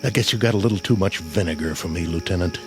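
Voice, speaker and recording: An actor playing a war-weary, humane army sergeant in a radio drama, dry and sarcastic with a folksy manner. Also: male voice, sits low, quick pace. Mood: weary, guarded. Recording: radio drama with faint music underneath.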